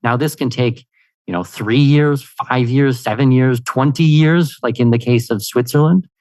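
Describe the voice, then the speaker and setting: A man talks as a podcast guest, keen and lively, with casual fillers scattered through. His voice sits at an ordinary middle pitch and sounds dry and close, with no room around it. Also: moderate pace, no room sound. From Canada. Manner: energetic and upbeat, many "you know" fillers. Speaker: guest, expat consultant. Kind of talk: conversational interview answers.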